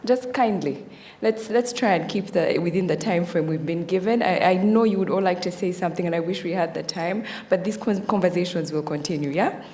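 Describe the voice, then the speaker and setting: A woman's voice: fairly low pitch, fairly quick, dark tone. A woman moderating a live conference, managing the floor in a polite, placating manner.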